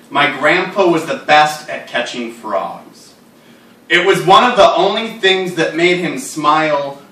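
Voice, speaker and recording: A man giving a live reading of stories and poems in a wry, deadpan manner, delivering absurd lines seriously. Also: fairly high pitch. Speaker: writer reading his own work aloud. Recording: roomy echo, distant mic.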